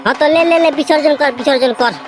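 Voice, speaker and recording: A high-pitched young village boy acted out by a performer in a comic cartoon. He is a cheeky, easily exasperated ringleader with a Purulia Bengali accent. Music plays clearly behind the voice. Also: fast talker, lightly acted. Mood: flustered. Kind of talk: comic regional cartoon dialogue. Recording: music under the voice.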